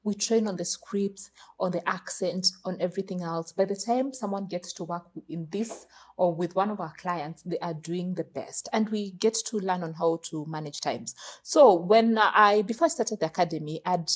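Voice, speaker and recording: A woman's voice: on the low side, moderate pace. A woman with a Kenyan accent answering interview questions, explaining her work at length in an earnest, matter-of-fact way. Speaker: guest, virtual assistant business owner.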